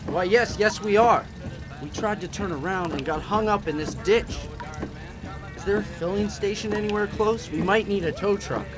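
Man doing breezy wisecracking young newlywed guy, radio play style, higher voice, music behind. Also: normal speed, close to an everyday voice. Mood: upbeat, polite. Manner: jaunty, polite, wry. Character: wisecracking, breezily upbeat young honeymoon husband. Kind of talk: old-time radio drama acting.

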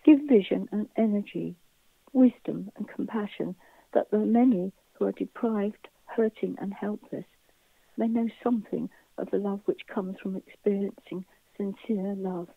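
A woman reading scripted church intercessions as prayer leader, in a measured, solemn, reverent way. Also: medium pitch, creaky, no room sound. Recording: dull, phone-like narrow band.

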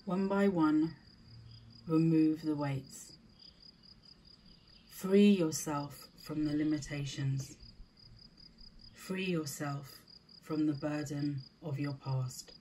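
A woman leading a scripted guided meditation in a soothing, deliberate manner, with short spaced phrases. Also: low-pitched, fairly even intonation, mellow, a little hiss or hum.

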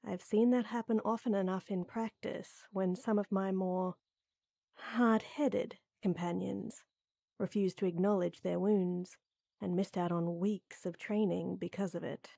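A woman playing a tall, strong lady knight who flirts and teases softly and coyly in a roleplay audio drama. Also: mid-range voice, fairly even intonation, unhurried, creaky, dry recording, studio quality. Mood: caring, reassuring. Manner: teasing, coy, soft and intimate with chuckles.

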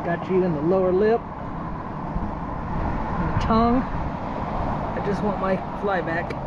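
A high-voiced man narrates casually from a kayak, muttering short remarks to himself and to the fish he catches. The action-camera audio is dull and muffled. Wind and water noise run clearly underneath. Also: takes it slowly. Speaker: kayak fishing vlogger. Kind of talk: casual narration while fishing. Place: on a kayak outdoors on shallow water.

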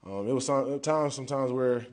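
A man's voice: medium pitch, normal speed, darkish tone. A young man, a football player, answering post-game questions at a podium mic in an indoor press room, casual and conversational, often dropping "man". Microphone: podium mic in front.

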